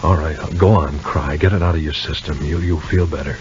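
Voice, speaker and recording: A deep-voiced, reassuring 1950s radio man. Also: fairly even intonation, fairly quick. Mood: soothing. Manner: soothing, coaxing, conversational. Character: calm, reassuring, gently bossy man comforting a woman. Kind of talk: 1950s radio soap opera acting. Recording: noisy, dull vintage radio recording.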